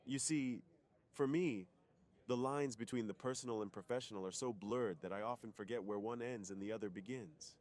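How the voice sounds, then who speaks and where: A gentle, secretive male superhero, acted by a man in an intimate ASMR roleplay. His voice is fairly low, and he talks in an earnest, confiding way, weary of his double life. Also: normal speed, lightly acted. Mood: warm, open.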